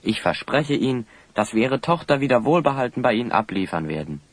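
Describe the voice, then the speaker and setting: Animated, assured young hero. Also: male voice, mid-range voice, a little fast, no echo. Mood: reassuring, assured. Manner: polite, reassuring, assured. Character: confident calm young adventurer hero, polite. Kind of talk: German children's audio drama.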